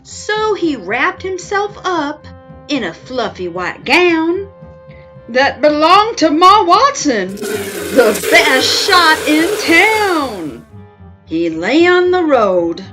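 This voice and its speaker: Older lady doing kids story time, folksy and playful, slow pace, highish voice. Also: over music. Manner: expressive, playful, drawn-out delivery. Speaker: host of a children's read-aloud story time. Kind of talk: animated children's storybook narration.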